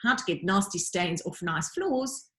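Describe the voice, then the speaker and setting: A woman narrating a children's storybook like a teacher reading aloud, with dramatic, deliberate emphasis. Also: lowish voice, very animated, fairly bright tone. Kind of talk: expressive children's audiobook narration.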